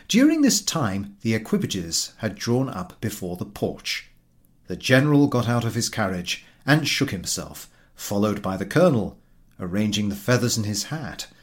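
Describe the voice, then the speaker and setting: A man reading a literary story aloud as an audiobook narrator, with measured, theatrical phrasing. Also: on the low side. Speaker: audiobook reader.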